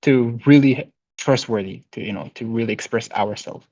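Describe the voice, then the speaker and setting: A man speaks haltingly on a panel, hunting for words through many fillers. The sound is dry and somewhat muffled and lo-fi. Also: mid-range voice, darkish tone, no room sound. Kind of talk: casual panel discussion.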